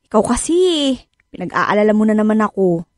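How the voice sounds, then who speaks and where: A woman's voice: mid-range voice, a little fast, no echo, studio quality, close to an everyday voice. An actress playing a caring, inquisitive wife and mother in her thirties in naturalistic radio drama dialogue. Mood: concerned. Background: music bed.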